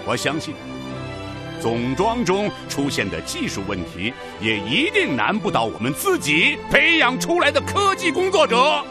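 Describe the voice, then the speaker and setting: A resolute leader addressing his missile institute in a radio drama. The voice is high and hugely varied in pitch, emphatic and declamatory, with music behind it. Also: huge pitch swings, unhurried, full character voice. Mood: resolute, rousing.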